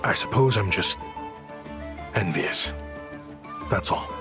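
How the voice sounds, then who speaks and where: A man's voice: on the low side, slow pace, music in the background. An actor playing a polite, protective man who minds children in a video game, courteous and reserved. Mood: reserved, wistful.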